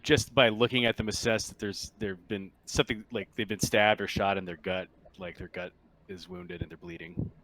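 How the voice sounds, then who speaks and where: A man narrating a tabletop roleplaying game as game master, improvising in an informal, halting way. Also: fairly low pitch, expressive, gravelly, a bit bright, a little hiss or hum, poor audio.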